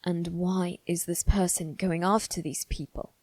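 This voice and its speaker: Woman playing a young aristocratic boy, formal and proud but nervous, unhurried hesitant phrasing, close dry sound, ASMR roleplay. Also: lowish voice, steady tone, a little mellow, dry recording. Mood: uneasy pondering. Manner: halting, formal, self-reassuring delivery. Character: haughty young noble boy, anxious but stubbornly composed. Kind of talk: dramatic ASMR roleplay monologue.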